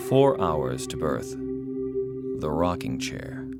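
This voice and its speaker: An actor playing a solemn announcer of dates and chapter titles in a radio drama, grave and deliberate. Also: male voice, very deep voice, sing-song, loud music behind the voice, exaggerated voice.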